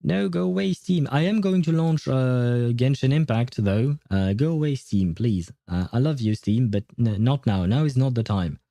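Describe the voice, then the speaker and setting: Mellow-voiced male game streamer. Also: medium pitch, lively intonation, moderate pace, vocal fry, few pauses, no echo. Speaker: livestreamer. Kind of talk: casual gaming livestream chat, answering viewer questions.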